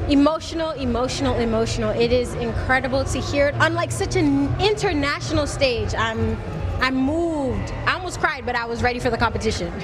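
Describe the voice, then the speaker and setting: A woman from Boston whose first language is Haitian Creole, a cultural organizer speaking earnestly and passionately at a lively watch party. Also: sits high, fairly quick, noisy background. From Boston, United States. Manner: passionate, earnest, repeats words for emphasis. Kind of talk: on-the-spot interview. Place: watch party at a bar with loud music. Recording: loud music behind the voice.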